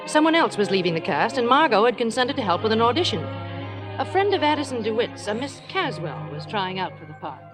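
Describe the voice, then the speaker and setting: A woman's voice: on the high side, sing-song, fairly quick, over music. An actress playing a kindly, confident married woman with a reassuring, genteel manner in a vintage radio drama. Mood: narrating. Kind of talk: 1940s-50s radio acting and narration.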